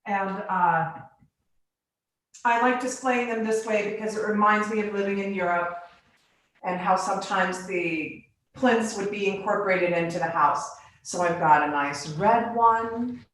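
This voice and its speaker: A woman's voice: mid-range voice, measured pace, fairly bright tone, slight background noise. Echoey, informal woman giving a studio tour. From Bahamas and Virgin Islands. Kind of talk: casual guided tour, live presentation. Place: living room of a home studio. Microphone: phone on a selfie stick. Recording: echoey room, live video stream.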